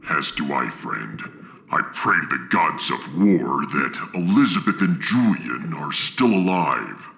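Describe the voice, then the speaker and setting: An actor playing a solemn, fierce warrior from a harsh moon, with a gravelly voice and grave, proud, formal speech in a science fiction audio drama. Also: male voice, very deep voice, big pitch swings, unhurried, bright, forceful tone, lo-fi sound, a little hiss or hum, heavily acted. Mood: reverent.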